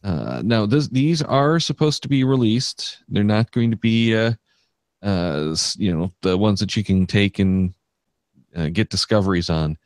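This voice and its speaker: Male podcast host, low-pitched, casual and rambling, on a dry close mic. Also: animated, unhurried, darkish tone, no room sound. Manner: chatty, many fillers and restarts.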